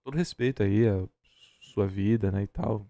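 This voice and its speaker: A man's voice: fairly low pitch, normal speed, mellow, no echo. A Brazilian man giving casual, blunt, argumentative reaction commentary and repeating himself for emphasis. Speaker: reaction stream host.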